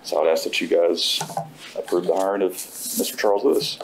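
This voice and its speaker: A man's voice: low-pitched, fairly even intonation, brisk, creaky, a little hiss or hum, rough recording. A middle-aged man at a podium in a school board room, reading formal prepared remarks about a new hire. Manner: reads steadily from notes, formal. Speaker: school district safety administrator presenting a hire. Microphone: podium microphone.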